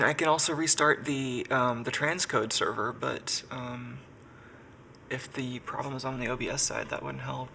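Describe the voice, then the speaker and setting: A man's voice: mid-range voice, vocal fry, pushed and bright, slight background noise. A man who is sick and congested, chatting casually on a live stream from his workshop and thinking aloud with lots of fillers. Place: home workshop.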